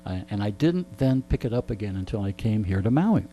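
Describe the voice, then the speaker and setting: Guy in his 60s, mellow voice, chatty radio interview storytelling, some hum behind him. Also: lowish voice, brisk, low-quality recording. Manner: chatty, animated storyteller with asides to listeners; frequent throat clearing. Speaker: radio show host being interviewed. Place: radio studio. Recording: clear background hum and noise.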